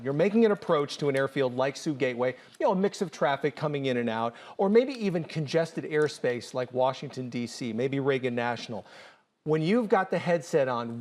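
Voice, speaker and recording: A man with a measured, formal delivery, interviewing a guest for broadcast news. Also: medium pitch, darkish tone.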